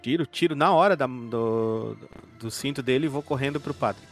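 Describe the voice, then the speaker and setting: A man's voice: medium pitch, normal speed, soft background music. A man with a Brazilian accent chatting casually as a tabletop game player, slangy and given to swearing.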